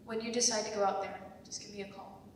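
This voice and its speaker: A woman playing a gentle, upbeat young Soviet student in a dramatic script reading. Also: medium pitch, fairly even intonation, fast, close to an everyday voice. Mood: friendly. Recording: echoey room, distant mic, faint noise.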